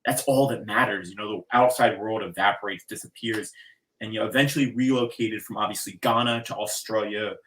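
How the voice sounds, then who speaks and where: A man's voice: on the low side, fairly even intonation, fairly quick, fairly bright tone, slight background noise, rough recording. A man hosting an interview podcast with an emphatic, theatrical delivery that draws out words like an announcer. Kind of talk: showy guest introduction and casual interview talk.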